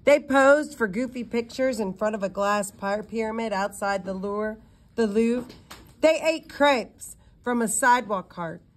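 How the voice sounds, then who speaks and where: A woman reading a story aloud in an expressive narrating style, with occasional stumbles and self-corrections. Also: a little high, measured pace. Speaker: audiobook reader.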